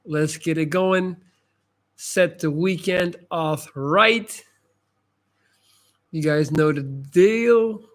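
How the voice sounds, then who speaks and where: Higher-pitched man with American-sounding English. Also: drawn-out words, long pauses. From Dutch-speaking Belgium. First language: Dutch. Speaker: livestream host giving advice. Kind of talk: casual live chat, answering comments.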